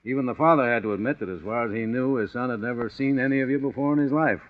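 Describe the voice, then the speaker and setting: An actor playing a brisk, matter-of-fact American chief inspector with blunt, dismissive authority in 1940s radio style. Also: male voice, medium pitch, close to an everyday voice. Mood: brisk, assured. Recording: dull, noisy old radio broadcast.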